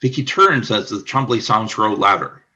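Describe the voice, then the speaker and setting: A man narrating a scripted radio play as announcer, in a formal, deliberate storytelling manner. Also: fairly low pitch, sharp tone, husky.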